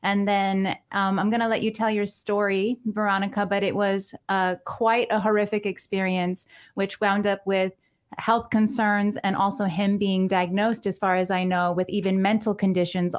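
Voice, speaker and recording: A woman hosting a talk show, introducing a guest in a measured, slightly halting way. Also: mid-range voice, level tone. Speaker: host.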